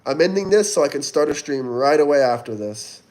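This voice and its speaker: A man's voice: on the high side, animated, fairly quick, a little mellow, poor audio. Man in his twenties hosting a livestream. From Florida, US. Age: 20s. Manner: reads out and answers viewer chat, urging likes and tips. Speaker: livestream host. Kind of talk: casual livestream chat.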